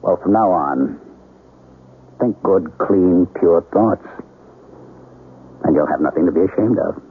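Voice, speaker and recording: An actor playing an eerily confident young father, calm and deliberate, in old-time radio drama style. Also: deep voice, breathy edge, full character voice. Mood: smug confidence. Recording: vintage radio broadcast, narrow phone-like sound, faint noise.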